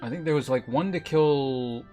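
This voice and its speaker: A man's voice: medium pitch, moderate pace, mellow, soft background music. A man doing casual gameplay commentary as a streamer, muttering offhandedly to himself and his chat. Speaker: game streamer.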